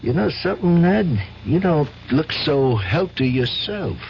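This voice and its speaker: Streetwise gangster, slangy, familiar, lively intonation; old, dull radio sound. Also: male voice, on the high side, measured pace, creaky, full character voice. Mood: warm, probing. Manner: slangy, tough, familiar way of talking. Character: streetwise male mobster, genial, loyal, quietly menacing. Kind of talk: radio drama acting. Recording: muffled, noisy 1970s radio recording.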